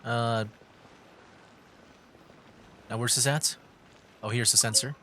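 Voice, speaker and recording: A man streaming a video game, making relaxed, offhand comments on the story and reading some lines aloud. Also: medium pitch, takes it slowly, slight background noise. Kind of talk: casual gameplay commentary. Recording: mic voice over game music.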